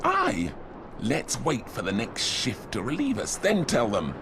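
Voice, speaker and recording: Highly animated, sly soldier. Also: male voice, measured pace, background noise. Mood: exasperated. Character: sly, whining, argumentative soldier and traitor. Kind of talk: dramatic fantasy game acting. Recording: music behind the voice.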